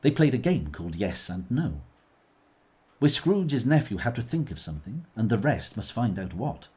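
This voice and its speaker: A man narrating a classic story in a measured, scripted way. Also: on the low side, sing-song, a little mellow, slightly rough.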